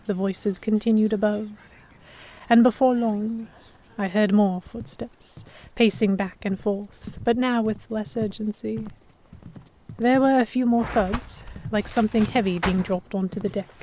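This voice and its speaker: A woman giving expressive first-person narration in the style of a dramatic audiobook. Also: mid-range voice, measured pace, slight background noise.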